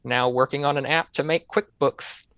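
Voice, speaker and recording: A man's voice: mid-range voice, steady tone, moderate pace, a bit bright, no room sound. A man from North Carolina answering interview questions in an easygoing, rambling way. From North Carolina, USA. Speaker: guest, software developer.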